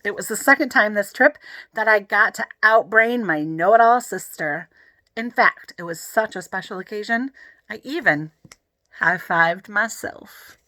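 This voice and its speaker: A woman reading a children's book aloud in an expressive, animated way, with chatty asides to the listeners. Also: mid-range voice, measured pace, dry recording. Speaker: read-aloud narrator.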